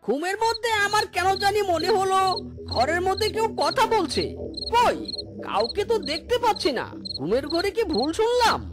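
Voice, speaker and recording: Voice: very high voice, sing-song, normal speed, over loud music. A performer voicing an innocent, bewildered poor animal husband in a cartoon story, with a pleading manner. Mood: puzzled.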